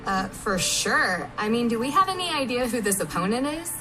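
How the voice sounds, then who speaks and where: High, animated woman over clear music. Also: moderate pace, low-quality recording. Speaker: voice actor, panel guest. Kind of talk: lively promotional panel chat. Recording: music under a played stream.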